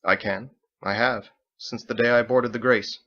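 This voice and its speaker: A man's voice: medium pitch, fairly even intonation, moderate pace, sharp tone, highs cut off, lightly acted. A man voicing a composed, articulate sailor woman with formal, proud wording, in a story reading.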